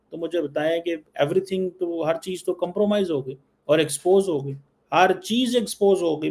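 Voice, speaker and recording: Halting Pakistani man, higher voice. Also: darkish tone. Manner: halting, repetitive, mixes in English phrases. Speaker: news commentator. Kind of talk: unscripted political commentary.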